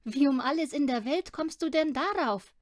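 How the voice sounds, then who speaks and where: A woman voicing a gentle but firm mother in a children's storybook, patient and questioning. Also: high voice, moderate pace, no echo, studio quality, voice pushed up, natural voice. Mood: surprised, curious.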